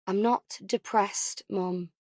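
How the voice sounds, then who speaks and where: A woman's voice: medium pitch, fairly even intonation, a little mellow, no room sound, lightly acted. Woman acting anxious, overwhelmed young mother. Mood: defensive. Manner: emotional, hurried, spilling worries. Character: anxious, tearful young first-time mother, overwhelmed and defensive. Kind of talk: audiobook character dialogue.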